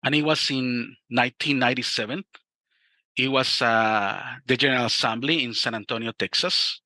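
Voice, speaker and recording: A man's voice: mid-range voice, moderate pace, sharp tone, no room sound. A middle-aged man from Mexico with a Mexican Spanish accent, telling his personal testimony in an interview in an earnest, reflective way and often restarting his sentences. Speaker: youth ministry director.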